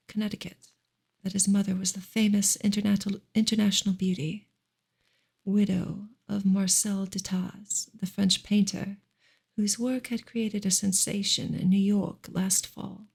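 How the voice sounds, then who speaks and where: A woman reading aloud in a soft, breathy, whispery ASMR style, slow and deliberate. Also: mid-range voice.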